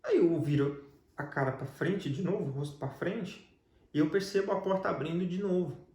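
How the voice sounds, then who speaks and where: Higher-pitched Brazilian man in an echoey room. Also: moderate pace, darkish tone. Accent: Brazilian Portuguese. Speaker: guest telling his life story. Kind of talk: personal religious testimony, conversational storytelling.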